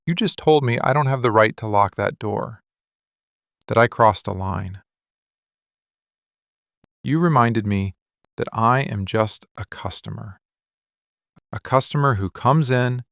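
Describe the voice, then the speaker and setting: A man playing a possessive, brooding mafia boss lover in a scripted boyfriend ASMR roleplay, menacing and commanding, with deliberate dramatic pauses. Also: medium pitch, vocal fry, a bit bright, no echo, clean studio sound. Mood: angry, hurt.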